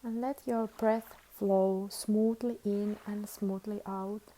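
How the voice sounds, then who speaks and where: A woman teaches a guided meditation in a mellow, relaxed voice. She speaks very evenly and unhurriedly, leaving long pauses between soothing instructions. A faint layer of background noise sits behind her. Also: medium pitch, rough recording.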